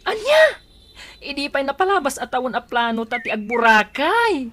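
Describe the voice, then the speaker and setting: An actress playing an excitable, suspicious household woman in Ilocano radio comedy drama, with an Ilocano accent. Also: sits high, normal speed, background noise. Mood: suspicious, annoyed. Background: music.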